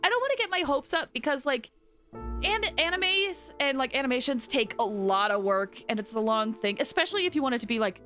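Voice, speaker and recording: A woman's voice: high-pitched, expressive, a little fast, sharp tone. A young woman chatting casually and effusively as a game livestreamer, often exclaiming. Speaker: game streamer. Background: game music.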